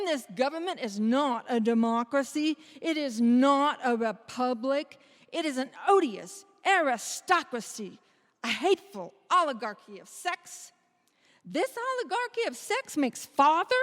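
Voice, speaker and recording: High-voiced resolute suffragist played by a woman, echoing hall. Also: a bit bright. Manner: deliberate, declamatory, emphatic. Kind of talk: readers theater historical monologue, oratorical delivery. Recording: echoey hall with long reverb.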